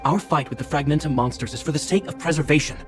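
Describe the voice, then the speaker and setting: An actor playing a dutiful, stern young guard captain in a video game, speaking in a formal, commanding, earnest way. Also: male voice, fairly high pitch, fast, close to an everyday voice. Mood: solemn, conflicted. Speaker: military captain. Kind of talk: dramatic video game voice acting. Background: game music.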